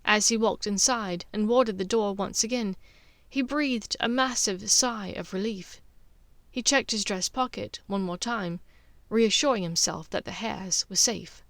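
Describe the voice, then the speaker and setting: A woman's voice: medium pitch, measured pace, fairly bright tone, no room sound. A woman reading scripted fiction aloud as its narrator. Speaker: fan fiction author and narrator.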